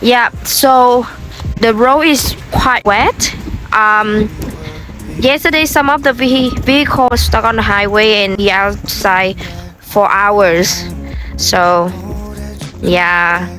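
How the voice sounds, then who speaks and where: A young woman from Vietnam with a Vietnamese accent, talking casually to her vlog audience, soft and unhurried, with many fillers and restarts. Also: mid-range voice, steady tone, over music. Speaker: vlogger.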